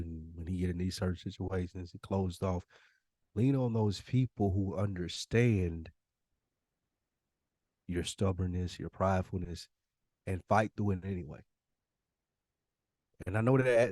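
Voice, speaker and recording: A man's voice: fairly low pitch, no echo. An American man co-hosting a podcast, giving conversational advice in an emphatic way and repeating phrases for weight. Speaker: podcast co-host.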